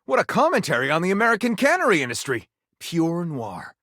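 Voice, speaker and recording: Video game role: flamboyant male pulp-romance narrator, overwrought and theatrical, hugely animated intonation, close dry sound. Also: sits high, brisk, no echo, full character voice. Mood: delighted, impressed. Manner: theatrical, breathless, overwrought delivery. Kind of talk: dramatic storybook narration.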